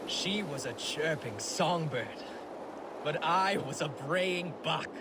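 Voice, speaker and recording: Bawdy Viking sailor, high-pitched, comic storyteller, self-mocking, video game, loud water noise. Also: male voice, expressive, slow pace. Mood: bawdy, gleeful. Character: bawdy, self-mocking Viking crewman telling lewd tales.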